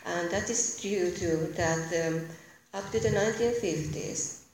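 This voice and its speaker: A woman from Finland with a Finnish accent and a soft voice, giving an informal lecture as a guest speaker in a hesitant, halting way. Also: lowish voice. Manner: hesitant, halting delivery with self-corrections. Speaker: guest speaker, visiting academic. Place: echoey indoor room. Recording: very echoey room, clear background noise.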